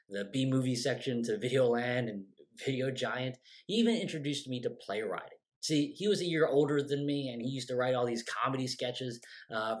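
Man in his forties, playwright, casual storytelling to camera from home, lively and expressive. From United States. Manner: animated, gestures a lot, quotes his younger self with lively shifts in pitch. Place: home room with bookshelves and windows. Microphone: close webcam framing.

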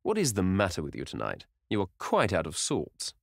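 A man's voice: low-pitched, wildly swooping pitch, fairly quick, gravelly, no echo, exaggerated voice. A man doing a drawling, witty aristocrat. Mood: ironic. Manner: drawling, dry, ironic. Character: languid, witty aristocratic gentleman.

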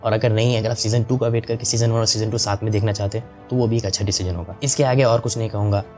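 Chatty young man, low voice. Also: fairly even intonation, fast talker, darkish tone, soft background music. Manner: chatty, mixes English into Hindi, frequent fillers. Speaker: anime review vlogger. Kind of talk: casual review voice-over. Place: voice-over over gameplay footage.

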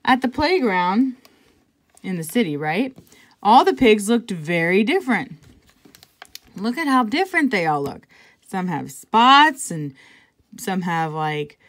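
A woman reading a picture book aloud to children, conversationally, pausing for casual asides and check-in questions. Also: medium pitch, sing-song, fairly bright tone. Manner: conversational, pointing things out and asking check-in questions. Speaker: intervention specialist reading to children.

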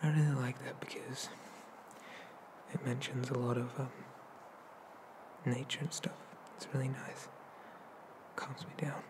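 A man voicing a shy, gentle young male demon in an ASMR roleplay, soft and hesitant, often slipping into whispers. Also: medium pitch, little pitch movement, a little slow, long pauses, lightly acted. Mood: nervous, tender. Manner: hesitant, stammering, bashful. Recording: noticeable background noise.

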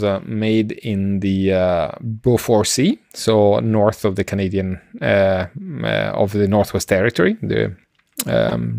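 A middle-aged man co-hosting a science podcast, explaining casually and haltingly, often circling back to rephrase. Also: fairly low pitch, creaky, darkish tone, dry recording, studio quality. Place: indoor room on a video call. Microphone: close desk microphone, headset.

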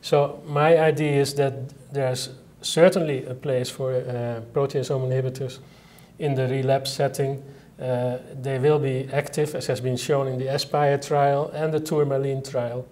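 A middle-aged man with a Dutch accent, speaking as a medical expert in a panel interview with measured, hesitant pauses. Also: mid-range voice, little pitch movement, a little mellow. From Netherlands. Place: indoor conference interview set.

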